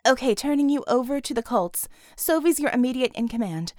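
A woman voicing a brisk female tech operator giving punchy calls over battle comms in an audiobook. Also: high-pitched, lively intonation, darkish tone, no room sound, studio quality. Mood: brisk, focused. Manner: brisk, punchy radio-call delivery.

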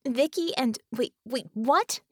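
A woman's voice: sits high, takes it slowly, a bit bright, no echo, clean studio sound, voice pushed up, exaggerated voice. A woman voicing a fierce, foul-tempered female team leader, snapping and demanding, in an audiobook. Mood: confused, urgent.

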